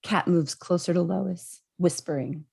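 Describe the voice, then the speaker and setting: A woman with a husky voice reading out screenplay stage directions as scripted narration. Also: on the low side, measured pace, darkish tone.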